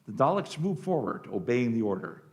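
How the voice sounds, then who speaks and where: Gravelly-voiced male radio drama narrator. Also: mid-range voice, sing-song, brisk, a little mellow.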